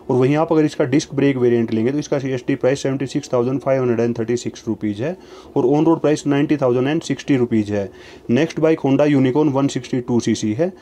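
A man narrating a voice-over, reading out long lists of prices in a steady, even, formulaic way. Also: medium pitch, fast, a little hiss or hum.

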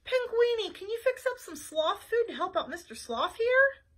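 Storybook: woman plays a jumpy, high-voiced plant detective, lively swoops. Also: normal speed, exaggerated voice. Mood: eager. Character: eager plant detective, male, excitable.

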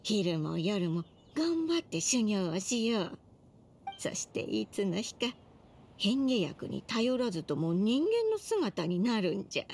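A doting, humble old grandmother for a video game. Her folksy, soothing speech is slow and very expressive in pitch, with faint noise behind it. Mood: gentle, resolute. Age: older. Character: tender, doting elderly grandmother, gentle and humble. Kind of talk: Japanese video game voice acting.

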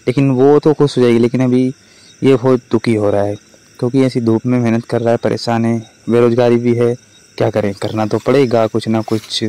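A man's voice: mid-range voice, level tone, fairly quick, warm tone, low-quality recording. A man, giving casual vlog commentary. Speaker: vlogger.

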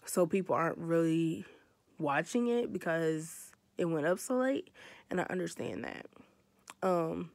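A young American woman with a stuffy, hoarse cold voice, chatting casually and rambling in a tired way, recorded on a phone held close in her bedroom. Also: on the low side, animated, measured pace, darkish tone. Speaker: beauty vlogger. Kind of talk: casual vlog chat. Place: bedroom, indoors.

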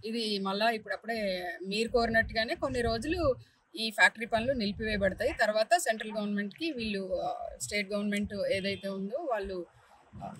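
A woman official addressing protesters outdoors in a firm, reassuring appeal, pausing between phrases. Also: mid-range voice, fairly even intonation, fairly bright tone. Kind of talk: public appeal. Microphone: reporter mics. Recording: noisy outdoor recording.

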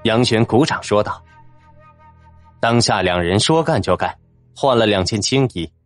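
A man's voice: lowish voice, animated, unhurried, soft background music. A man narrating a fantasy novel in a dramatic, scripted storytelling style. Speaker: audiobook narrator.

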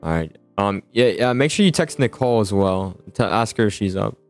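A man's voice: fairly low pitch, animated, a little fast. A man chatting casually in an improvised game roleplay, often stammering and repeating his words. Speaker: host of a roleplay gaming video. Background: music.

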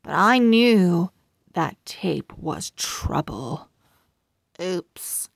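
A woman reads a children's chapter book aloud, expressively and deliberately. Her pace is extremely slow, with a touch of creak in an animated delivery. The recording is close and dry. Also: on the low side, dry recording. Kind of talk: slow scripted storybook read-aloud for young readers.

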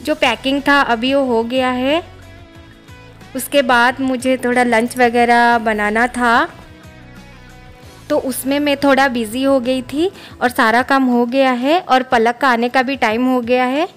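Chatty high-voiced woman vlogging by phone. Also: fairly even intonation, slow, soft background music. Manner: chatty, mixing Hindi with English words. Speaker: family vlogger. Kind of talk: casual vlog narration. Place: home and on the road, train station. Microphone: phone held at arm's length.

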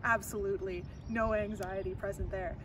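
A young Canadian woman talks through interview answers, loose and chatty with lots of "um" and "really." She stands a few meters from the camera outside, so her voice sounds distant. Loud traffic and street noise run underneath. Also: medium pitch, fairly even intonation, normal speed. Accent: Canadian English. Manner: chatty, many fillers and repeated "really". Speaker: interviewee, law student. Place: outdoors on a campus plaza by a glass building. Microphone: camera mic from a few meters away. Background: outdoor traffic noise.

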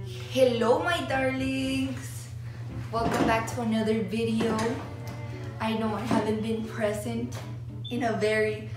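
A woman's voice: fairly high pitch, level tone, a little slow. A young woman from Mexico chatting casually to her phone camera as a vlogger, in a bubbly, nervous, self-deprecating way. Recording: very echoey room, a little far from the mic, music behind.

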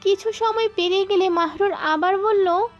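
Very high-pitched woman narrating a story. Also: moderate pace, lo-fi sound, soft background music. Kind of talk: scripted romantic story narration.

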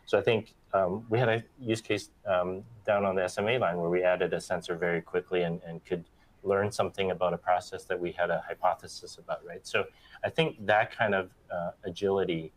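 Middle-aged guy, real deep voice, calm explaining on a panel, says right a lot. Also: rough recording. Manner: steady explaining, tags right. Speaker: factory expert panelist. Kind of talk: panel discussion. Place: bright open factory studio set.

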